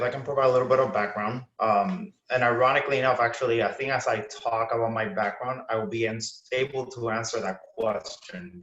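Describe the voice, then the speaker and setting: A man's voice: mid-range voice, fairly bright tone. A young man from Puerto Rico on a panel, earnestly telling his story in a conversational way. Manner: earnest, conversational, many fillers. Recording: roomy, dull-sounding video call audio.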